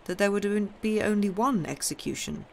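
Man playing Franz, a troubled young gentleman. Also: high-pitched, lively intonation, normal speed, creaky, slight background noise, voice pushed up.

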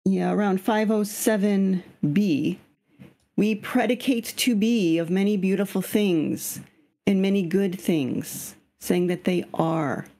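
A woman's voice: mid-range voice, slow, warm tone. Slightly scratchy woman leading a video-call reading group. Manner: explains patiently, asks the group questions. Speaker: study group leader. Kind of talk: leading an online reading discussion.

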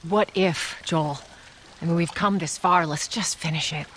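An actress with an American accent playing a tough, determined survivor who leads firmly and urgently in a video game. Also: female voice, fairly low pitch, a little slow, background noise, lightly acted. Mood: pleading, resolute. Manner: firm, urgent. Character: tough, determined adult female survivor and leader. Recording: game audio with loud rain ambience.

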